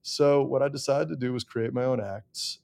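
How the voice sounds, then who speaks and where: A man's voice: mid-range voice, fairly even intonation, moderate pace, gravelly, a little mellow. A middle-aged man with an American accent, telling his story candidly and self-deprecatingly as an interview guest. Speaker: interview guest, songwriter and producer.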